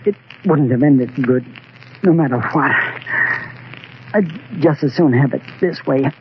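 Slow man acting a resigned young tough guy, American, wistful, halting, 1940s radio drama, muffled noisy recording. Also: mid-range voice, lightly acted. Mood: tender, fading.

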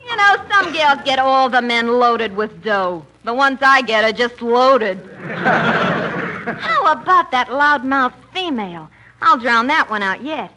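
A woman's voice: high voice, big pitch swings, slow pace, sharp tone, slight background noise, low-quality recording. A woman doing a wisecracking comedy monologue on old-time radio, breaking into mock-French singing. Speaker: comic singer. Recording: old radio recording with music.

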